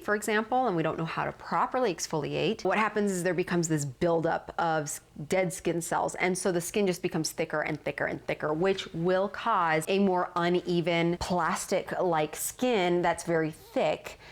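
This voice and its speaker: A woman's voice: lowish voice, moderate pace, few pauses. A woman vlogger explaining skin care, sounding a little nasal while getting over a cold. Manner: earnest, explanatory. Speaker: skincare vlogger. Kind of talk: casual instructional talk.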